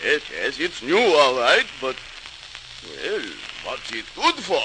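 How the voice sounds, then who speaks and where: Elderly apothecary, absent-minded, grumbling, very animated intonation, hesitant muttering, old radio drama, crackling hiss. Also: male voice, medium pitch, slow. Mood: muttering, pondering. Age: older. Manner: muttering, hesitant, easily startled. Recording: hissy, crackling 1940s radio recording.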